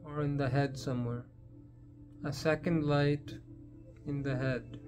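A man leading a guided meditation in a gentle, unhurried manner, leaving long pauses between phrases. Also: a little high, fairly even intonation, over music.

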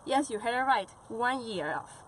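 A woman's voice: fairly high pitch, lively intonation, normal speed, fairly bright tone, slight background noise, poor audio. Chatty woman interviewer in a van. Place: parked van among trees.

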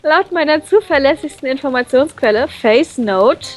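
A woman's voice: high-pitched, expressive, moderate pace. A playful woman reading a visual novel expressively for a let's play, with casual banter in between. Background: background game music.

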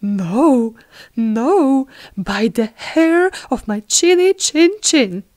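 A little pig from a storybook, voiced by a woman, plucky and emphatic. The voice sits rather high and moves in singsong swoops, taken slowly. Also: lively intonation, darkish tone, no echo, clean studio sound, exaggerated voice. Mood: defiant. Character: plucky little pig, pleading yet defiant. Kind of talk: storybook fairy tale character voice.

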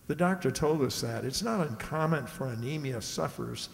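An older man acting a matter-of-fact police inspector in a radio mystery, measured and reassuring. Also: mid-range voice, lively intonation, vocal fry. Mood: explanatory. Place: stage in a community hall. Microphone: stand microphone on stage. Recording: echoey hall, live stage performance.

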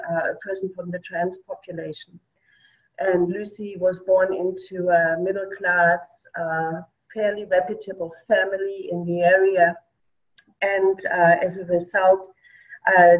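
A woman of about fifty-eight with a German accent, speaking slowly and hesitantly, with many pauses, as she recounts her path into community work over a video call from a home office. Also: fairly low pitch. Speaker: award-winning community practitioner. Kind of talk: reflective conversational talk. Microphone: laptop mic on a video call. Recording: tinny distant video-call audio.

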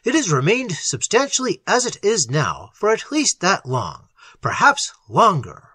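Man acting a forceful, urgent scientist hero. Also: high voice, huge pitch swings, unhurried, slightly rough, dry recording, studio quality, pitched above the performer's own voice, exaggerated voice. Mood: grave, insistent. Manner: forceful, emphatic, explanatory. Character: urgent male scientist at the wheel, commanding, explaining monster lore. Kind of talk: dramatized horror story reading.

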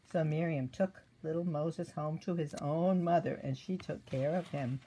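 Older female storyteller for small children, mellow tone, gentle teacherly questioning, faint room noise. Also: deep voice, steady tone, a little slow, poor audio. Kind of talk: children's devotional story read aloud with questions. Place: indoor room at a table.

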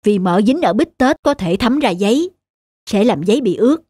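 A woman's voice: on the high side, lively intonation, moderate pace, warm tone, breathy edge, dry recording, studio quality. A woman voicing a heavyset male restaurant owner in a cartoon story. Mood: glum, resigned.

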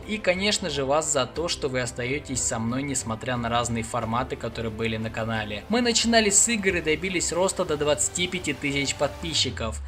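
A young man hosting a video channel, narrating a scripted vlog in an earnest way with emphatic phrase openings. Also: mid-range voice. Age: young adult.

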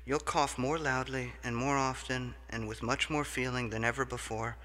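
A man voicing a persuasive tempter who speaks quickly and reassuringly while promising bad things sweetly. He is heard in an echoey hall. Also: mid-range voice, sharp tone. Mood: coaxing. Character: smooth-talking tempter, a disease in human form.

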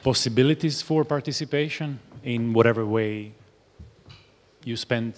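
A man's voice: medium pitch, normal speed. A man in his forties chairing a panel, speaking hesitantly with many fillers and restarts at a table mic. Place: large hall. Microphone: table mic on stand. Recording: reverberant hall sound.

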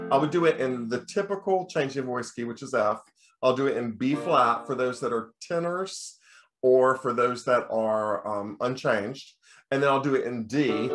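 A middle-aged American man with a bass voice, a choir teacher giving a recorded lecture in a relaxed, explaining way. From United States. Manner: relaxed explaining, breaks into sung demonstrations. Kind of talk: recorded lecture over slides.

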